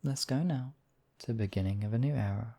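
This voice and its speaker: A man playing a demon king in an intimate ASMR roleplay, husky and theatrical, with deliberate phrasing and lingering pauses. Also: medium pitch, dark tone. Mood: menacing, possessive. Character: demon king, menacing captor act turning tender, devoted lover.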